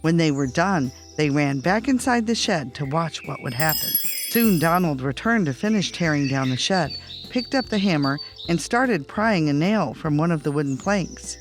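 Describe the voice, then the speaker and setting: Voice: medium pitch, lively intonation, moderate pace, music in the background. A storyteller reading a scripted children's bedtime story.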